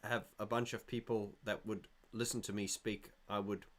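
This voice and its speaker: Rambling middle-aged livestream host. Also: male voice, on the low side, level tone, moderate pace, fairly bright tone. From South Africa. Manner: rambling, thinks aloud with many restarts. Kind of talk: casual chat, reading viewer comments.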